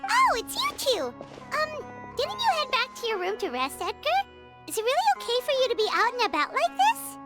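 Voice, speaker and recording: A woman's voice: very high voice, wildly swooping pitch, moderate pace, loud music behind the voice, full character voice. A woman voicing a small, childlike, chatty fairy companion in a video game, speaking in exclamations. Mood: surprised, concerned. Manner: speaks of self in third person, exclaiming. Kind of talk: video game character acting.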